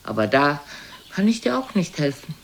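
A woman's voice: low-pitched, big pitch swings, moderate pace. Imperious old mother, noisy recording. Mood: coolly reminiscing, belittling. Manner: haughty, probing, theatrical. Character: imperious, blunt aged mother, coolly belittling her son. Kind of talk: German radio play acting.

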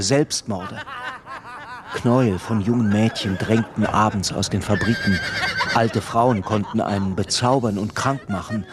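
A man giving a measured, deliberate literary narration in a radio play. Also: on the low side, lightly acted.

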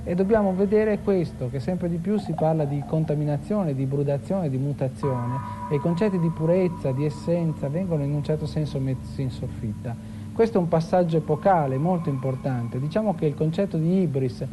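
A man's voice: fairly high pitch, brisk. A man talking as an expert in a discursive, academic way, stressing key terms as he explains. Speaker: expert commentator. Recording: clear background ambient noise.

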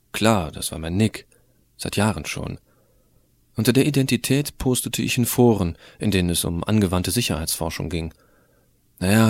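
A cocky teenage schoolboy played by a man tells his own story in an audio drama. The voice is fairly low and recorded dry. His narration is irreverent, sardonic and full of slangy, colloquial turns. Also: creaky, no echo. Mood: mocking. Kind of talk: first-person scripted narration, fan audio drama.

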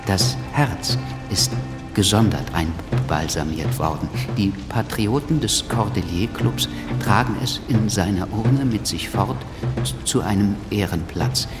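Slow, stammering sardonic revolutionary. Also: male voice, fairly low pitch, animated. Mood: dry, sardonic. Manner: halting, deliberate, drily sardonic. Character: shaken, stammering revolutionary writer with dry, sardonic wit. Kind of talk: radio drama first-person narration. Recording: music behind the voice.

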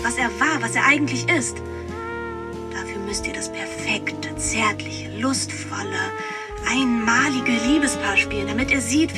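A woman's voice: fairly high pitch, natural voice. An actress playing a restless, detached young woman who narrates and speaks in a radio drama in a composed, reflective way. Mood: urgent. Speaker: lead actress and first-person narrator.

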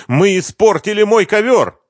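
Man acting a high-pitched, panicky, weepy male singer. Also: lively intonation, moderate pace, no echo, studio quality. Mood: angry, flustered. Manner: pleading, whining, stammering protests. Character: frightened, hysterical, weepy male pop singer under questioning. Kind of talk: audiobook detective novel dialogue.

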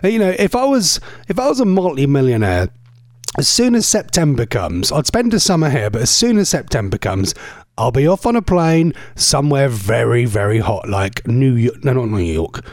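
A man's voice: mid-range voice, sing-song, normal speed, a little mellow, no room sound, studio quality. A middle-aged man hosting a radio show, rambling through jokey home stories with lots of restarts. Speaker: radio host. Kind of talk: casual radio chat. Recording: music playing under voice.

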